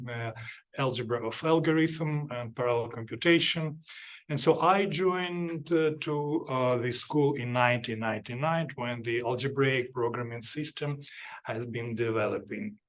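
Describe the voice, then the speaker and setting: A man giving an academic seminar talk, halting with many fillers and restarts. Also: medium pitch. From Ukraine. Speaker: seminar speaker.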